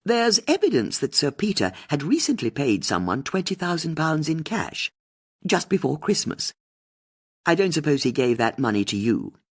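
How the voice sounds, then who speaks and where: A woman's voice: deep voice, sing-song, normal speed, breathy edge, voice pushed up, exaggerated voice. A woman voicing a bold, probing amateur sleuth in an audiobook, with pointed and insistent questioning. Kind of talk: audiobook character dialogue.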